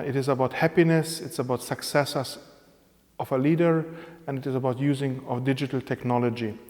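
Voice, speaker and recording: A man from Hungary, a professor whose first language is Hungarian, answers questions in English. He talks at a mid pitch, steady and explanatory, pausing now and then to find his words. A long reverb trails each phrase. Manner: measured, explanatory, with pauses and fillers. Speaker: interviewed professor. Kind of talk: spontaneous interview answers in English. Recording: reverberant room.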